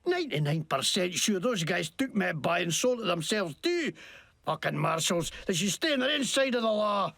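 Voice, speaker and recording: An actor playing a crude, scheming wasteland mechanic who fakes a bad Scottish accent and rants with profane, jumpy indignation in a video game. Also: male voice, high-pitched, big pitch swings, normal speed, gravelly, bright, forceful tone, no room sound, exaggerated voice. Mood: indignant. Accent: put-on, badly faked Scottish. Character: crude scheming wasteland grease-monkey man faking Scottish. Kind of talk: video game character acting.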